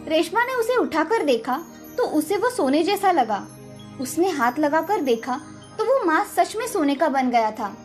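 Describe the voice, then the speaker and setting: A woman delivers scripted storybook narration. Her voice is notably high-pitched. Light music plays in the background. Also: big pitch swings, unhurried.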